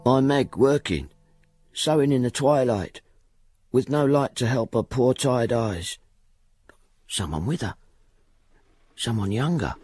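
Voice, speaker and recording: An actor playing a humble, timid poor father in a radio drama, hesitant and halting, repeating himself as he pleads. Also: mid-range voice, expressive, darkish tone, breathy edge, no echo, soft background music. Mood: sorrowful wonder.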